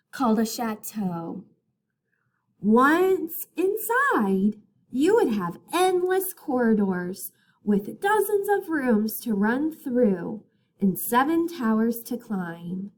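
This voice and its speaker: A woman reading a picture book aloud as a teacher to children, in a deliberate, expressive storytelling manner. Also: a little high.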